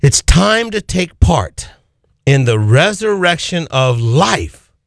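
A man's voice: a little high, very animated, slow pace, creaky, dry recording, studio quality. A man preaching an Easter sermon as pastor, pressing his points with swinging emphasis and repeated phrases.

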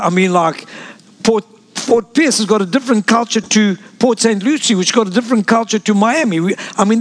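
A man born in South Africa preaching a sermon as pastor, sprinkling amens and asides to the congregation. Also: high-pitched.

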